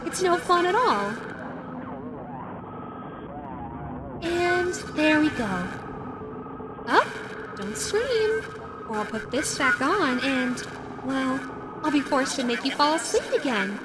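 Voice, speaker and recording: A woman's voice: sits high, very animated, takes it slowly, noisy background, heavily acted. A woman voicing a flirty, menacing female animatronic fox in an audio roleplay, playful, coy and teasing. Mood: teasing, coaxing. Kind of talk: audio roleplay addressed to the listener. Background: music.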